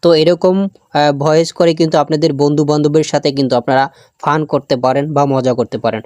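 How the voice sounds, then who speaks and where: A man's voice: fairly high pitch, steady tone, quick pace, a little mellow, no room sound. Male tech tutorial host addressing viewers. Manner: addresses viewers directly, repeats phrases. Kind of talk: spoken step-by-step app tutorial.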